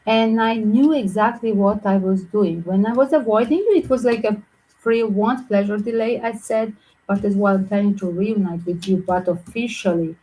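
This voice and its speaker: A woman from Southern Europe with a mixed Greek and Yugoslav accent, giving a tarot reading in quick, chatty, non-native English. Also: mid-range voice, dark tone, poor audio. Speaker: tarot reader.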